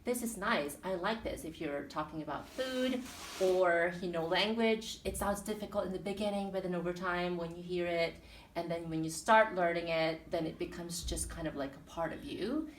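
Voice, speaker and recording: A woman's voice: fairly low pitch, normal speed, slight background noise. A woman from the Philippines and Guam in her thirties, a teacher answering interview questions thoughtfully with many fillers and restarts. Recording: echoey room, mic at a distance.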